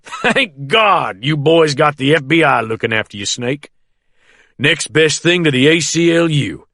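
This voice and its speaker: A man's voice: fairly high pitch, expressive, unhurried, no echo, clean studio sound, voice pushed up, heavily acted. A man playing a bellowing, brutal sheriff. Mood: barking, loud. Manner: loud, barking and coarse, menacingly soft when threatening. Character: hulking, hot-tempered male small-town sheriff.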